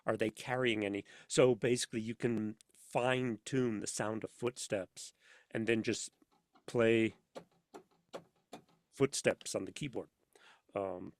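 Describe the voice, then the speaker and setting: A man chatting casually as an interview guest, telling anecdotes in an animated way and acting out bits of dialogue. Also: mid-range voice, dry recording, studio quality. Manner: animated storyteller, acts out imagined dialogue. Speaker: podcast guest, sound designer for audio dramas. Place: inside a studio.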